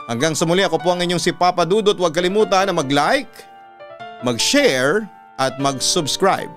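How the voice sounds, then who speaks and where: A man with a Filipino accent reading a dramatic story and advice as a host, in an earnest, measured way. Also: on the high side, fairly bright tone. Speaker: radio announcer and story host. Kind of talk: dramatic scripted storytelling and advice, read aloud. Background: instrumental music under the voice.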